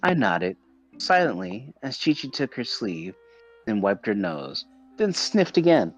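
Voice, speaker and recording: A man's voice: mid-range voice, big pitch swings, unhurried, soft background music, low-quality recording. A man reading visual novel narration aloud as a streamer, occasionally stumbling and rereading words.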